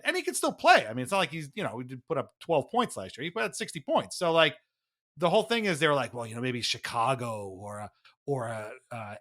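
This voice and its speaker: A man hosting a podcast, talking casually about hockey in a rambling, animated, conversational way. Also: medium pitch. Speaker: podcast host.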